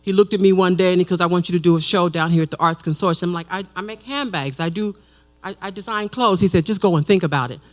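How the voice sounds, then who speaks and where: A woman artist in the audience giving an excited, effusive comment. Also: lowish voice, fast.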